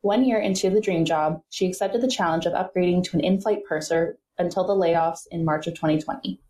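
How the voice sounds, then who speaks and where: A woman hosting a podcast, reading a smooth scripted introduction and asking interview questions. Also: on the low side, brisk, distant mic. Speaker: podcast host. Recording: roomy echo, off-mic, soft music.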